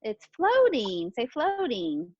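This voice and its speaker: A woman's voice: fairly high pitch, huge pitch swings, slow pace, no room sound, highs cut off. A woman teaching pre-kindergarten children interactively, asking questions and repeating their answers in an encouraging way. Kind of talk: interactive lesson for young children.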